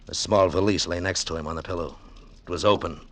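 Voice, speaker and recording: An actor in 1940s radio style playing a wry, world-weary adventurer, terse and measured, on a noisy vintage recording. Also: male voice, sits low, fairly even intonation. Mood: tense, reflective. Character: hard-boiled, wry male adventurer narrating his tale. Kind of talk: 1940s radio acting and first-person narration.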